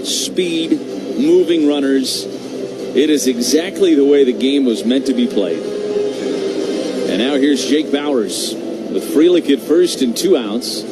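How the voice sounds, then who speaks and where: A man with a fairly ordinary-pitched voice gives live baseball play-by-play in a radio commentary style. There is clear background sound running behind his call. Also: expressive, slow pace, loud music behind the voice, poor audio.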